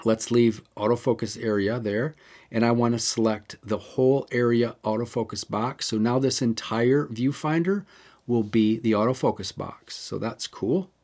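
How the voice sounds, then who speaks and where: A man's voice: mid-range voice, moderate pace, darkish tone. A man hosting a camera tutorial, talking casually and walking through settings step by step with the odd digression. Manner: chatty, digresses, explains step by step. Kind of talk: casual how-to walkthrough.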